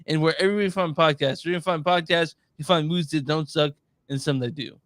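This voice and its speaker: Dude on a podcast, slightly high voice, super dry sound, informal and sweary. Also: male voice, fairly quick, a bit bright, dry recording. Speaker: podcast co-host. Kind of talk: casual podcast chat.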